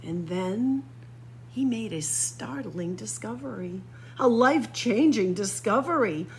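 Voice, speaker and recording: A woman reading a children's picture book aloud expressively, with dramatic pauses and drawn-out emphasis. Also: medium pitch, lively intonation, a little hiss or hum. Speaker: library story-time reader. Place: library room with bookshelves.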